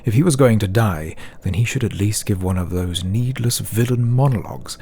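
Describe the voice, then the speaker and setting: A man's voice: lowish voice, moderate pace, warm tone, slight background noise. A man narrating a scripted fantasy audiobook as a dramatic storyteller.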